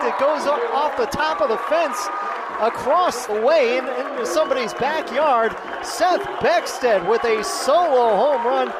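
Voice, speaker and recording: A man's voice: very high-pitched, heavy background noise. A man doing live radio play-by-play commentary as a baseball announcer.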